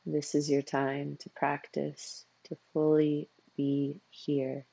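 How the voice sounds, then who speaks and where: Meditation coach, husky and creaky, slow delivery, narrow pitch range, soothing, long pauses, close dry sound. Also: monotone, mellow, no room sound. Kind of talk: guided meditation.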